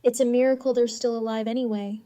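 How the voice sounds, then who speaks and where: A woman's voice: a little high, flat delivery, quick pace, warm tone, no echo. A woman acting a blunt, hard-edged young character in a fan audio drama.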